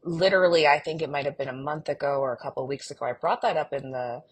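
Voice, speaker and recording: A woman's voice: deep voice, quick pace, husky. A woman co-hosting a podcast, chatting casually and expressively as a fan and acting out quotes with drama. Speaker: podcast co-host discussing an audio drama. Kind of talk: animated casual fan chat.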